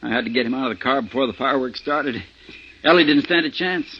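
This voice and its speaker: An American man playing a steady, wry off-duty homicide detective in a 1950s radio drama, plain-spoken and folksy. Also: medium pitch, fast, slight background noise, close to an everyday voice. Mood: satisfied, wry. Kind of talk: 1950s radio drama, first-person narration and dialogue. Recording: muffled 1950s radio recording, faint music.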